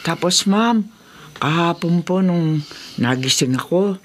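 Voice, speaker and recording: An actress playing a fearful, superstitious middle-aged housemaid in a horror radio drama, hesitant and respectful. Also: female voice, on the low side, expressive, slow, a little hiss or hum. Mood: anxious, deferential.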